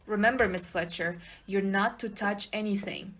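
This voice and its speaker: Audio play inspector played by a woman: medium pitch, excitable, bossy, given to blustering exclamations. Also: normal speed, lightly acted. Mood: bossy, firm.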